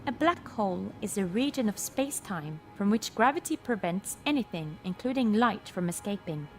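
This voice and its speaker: A woman voicing an informative computer encyclopedia in a game, reading scripted entries in a measured, articulate way. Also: medium pitch, natural voice.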